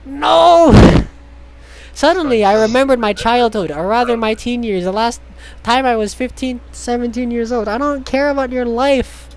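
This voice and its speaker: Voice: medium pitch, unhurried. A streamer reading a visual novel aloud in a casual way, stumbling over the text and dropping sarcastic, sweary asides.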